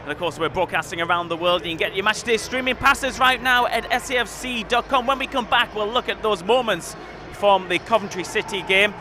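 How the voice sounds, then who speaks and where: A male host with a high voice presents a live pre-match show, lively and steering the chat with questions. He is outdoors at a stadium, with loud surrounding noise behind him. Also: brisk. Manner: lively, leading the talk with questions.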